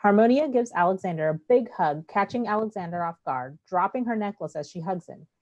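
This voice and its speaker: A woman's voice: medium pitch, expressive, a little fast, a little mellow, dull audio. A woman reading stage directions aloud as the narrator of a play, in scripted narration.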